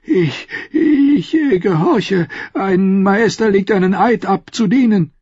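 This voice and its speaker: A man's voice: sits high, a little mellow, breathy edge, no room sound, muffled recording, pitched above the performer's own voice, exaggerated voice. A man doing a quavering old maester, stammering. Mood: nervous. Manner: stammering, pleading, halting. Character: elderly male grand maester, timid and fawning.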